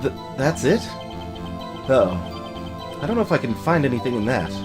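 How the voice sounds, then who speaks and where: A man's voice: mid-range voice, sing-song, moderate pace, music in the background. A man giving casual commentary as the host of a video game let's play.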